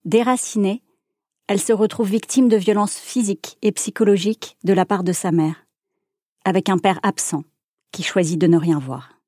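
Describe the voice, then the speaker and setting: A woman hosting a podcast, reading a scripted introduction in a measured way and then asking questions casually. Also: medium pitch, a little mellow, dry recording, clean studio sound. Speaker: podcast host.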